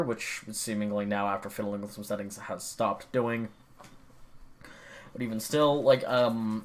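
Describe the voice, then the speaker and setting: A young man rambling casually at his computer about gaming, swearing often and full of fillers and restarts. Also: mid-range voice. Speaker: gaming vlogger.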